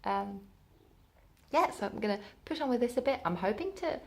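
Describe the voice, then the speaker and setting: A woman's voice: fairly high pitch, animated, normal speed, gravelly. A woman talking casually and informally as a book vlogger, thinking aloud as she goes. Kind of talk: casual spoken reading vlog.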